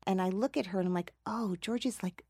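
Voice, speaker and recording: A middle-aged woman hosting a podcast, telling stories in a chatty, animated way and laughing often, in a studio booth. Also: medium pitch, fairly quick, breathy edge, no echo. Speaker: podcast host. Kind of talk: casual chat, anecdote. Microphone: studio microphone.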